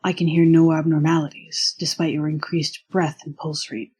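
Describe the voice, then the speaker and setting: A performer voicing a formal, earnest young male college roommate with a husky voice and stilted, precise diction, in an audio story reading. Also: mid-range voice, little pitch movement, moderate pace, darkish tone, pitched above the performer's own voice, lightly acted. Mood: concerned, formal.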